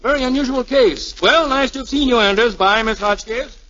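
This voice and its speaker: An actor playing a blustery, tactless Air Force colonel and doctor, clipped and pompous, in a 1940s radio drama. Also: male voice, very high voice, brisk, pushed and bright. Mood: matter-of-fact, tactless. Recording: dull lo-fi 1940s radio recording.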